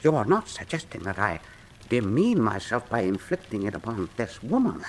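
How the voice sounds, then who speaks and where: A man's voice: lowish voice, very animated, moderate pace, slight background noise. A man playing a proud, courteous Chinese master torturer in a radio drama, speaking in a formal, ceremonious manner.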